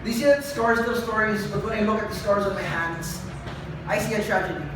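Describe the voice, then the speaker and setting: A young adult poet performing confessional spoken word live, with a dramatic, deliberate delivery and emphatic repetition. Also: medium pitch, steady tone. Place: live event venue with room ambience. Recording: echoey live room, distant mic, noisy.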